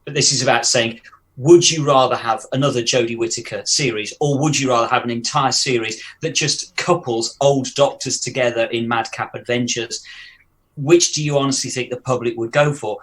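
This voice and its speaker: A man's voice: mid-range voice, steady tone, normal speed, fairly bright tone. A man co-hosting a podcast. He floats ideas aloud, often restarting and repeating words. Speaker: podcast co-host. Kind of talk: casual podcast discussion.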